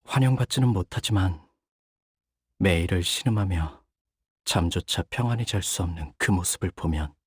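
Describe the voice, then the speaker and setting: An actor playing a young, bird-like warrior in a game, polite and formal in speech yet bloodthirsty, with theatrical delivery. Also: male voice, low voice, animated, normal speed, slightly rough, dry recording, clean studio sound, heavily acted. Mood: composed, devoted. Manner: polite, formal speech breaking into fierce intensity. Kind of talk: Korean game character voice lines, theatrical.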